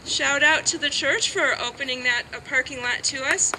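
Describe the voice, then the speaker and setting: A woman's voice: high voice, normal speed, bright, forceful tone, a little hiss or hum, rough recording. A woman giving an upbeat, chatty introduction outdoors at a garden ceremony. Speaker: event organizer introducing speakers.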